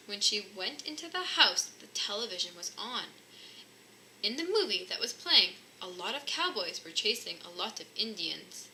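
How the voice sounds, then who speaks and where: A woman reading a children's storybook aloud in an animated narrating style. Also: mid-range voice, moderate pace, low-quality recording. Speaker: storybook reader. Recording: faint background noise.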